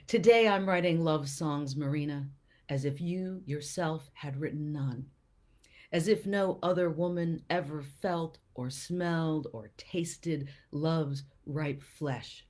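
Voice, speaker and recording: Female host, low pitch, slow unhurried delivery; publisher chatting, then reading poetry with lingering phrasing. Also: a little mellow. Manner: unhurried, lingering over poem lines. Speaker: poet and small press publisher, show host. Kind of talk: casual talk and poetry reading.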